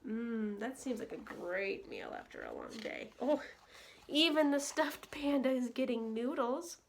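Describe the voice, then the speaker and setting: A woman's voice: on the high side, wildly swooping pitch, a little slow, a little hiss or hum. A woman storyteller presenting picture books for young children, speaking to them directly and playfully. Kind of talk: picture-book story time with read-alouds and sing-along.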